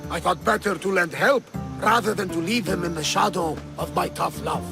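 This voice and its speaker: A man's voice: high voice, normal speed, gravelly, music in the background. An actor playing a tough but caring older male relative in a video game. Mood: grateful, warm. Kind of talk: dramatic video game acting.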